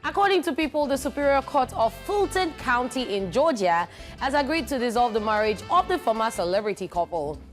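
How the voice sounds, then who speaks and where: A woman from Nigeria co-hosting an entertainment show, reading celebrity news briskly with lively emphasis. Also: fairly high pitch, soft background music.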